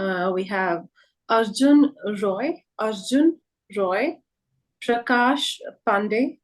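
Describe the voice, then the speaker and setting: A woman's voice: mid-range voice, low-quality recording. A woman whose first language is Hindi, presenting a live online workshop over a video call and carefully repeating each name as she explains it.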